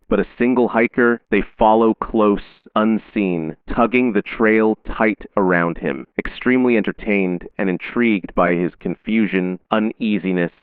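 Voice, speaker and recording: Very deep, smooth male narrator. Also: dry recording, narrow, tinny audio. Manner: measured, solemn, formal announcer delivery. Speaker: narrator of a horror broadcast. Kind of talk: scripted analog-horror narration as an official safety bulletin.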